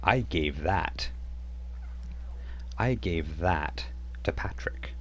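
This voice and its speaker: A man playing an ancient old-man spirit who speaks slowly and muses over a distant past, in a tabletop game. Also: deep voice, lively intonation, slight background noise, full character voice. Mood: wistful.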